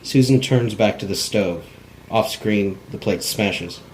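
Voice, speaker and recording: A man's voice: lowish voice, slow, a little mellow, a little hiss or hum, rough recording. A man narrating script directions in a plain, unpolished cold read, stumbling now and then. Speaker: narrator reading stage directions.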